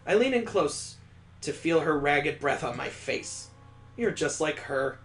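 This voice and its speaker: A man playing an obsessive, restrained young woman who narrates a visual novel in an earnest, intense, measured way. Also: on the high side, animated, fairly bright tone, soft background music. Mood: obsessive yearning.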